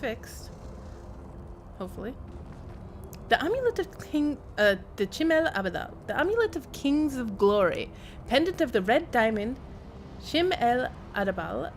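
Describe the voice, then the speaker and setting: A woman's voice: a little high, slight background noise. A woman game streamer who reads in-game text aloud and adds casual commentary.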